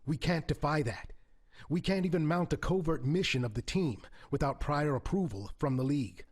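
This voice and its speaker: A man playing a grave, cautious young hero and team leader in an audio drama, speaking formally with a husky voice. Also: on the high side, expressive, normal speed. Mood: firm, cautious. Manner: formal, precise. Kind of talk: amateur audio drama acting.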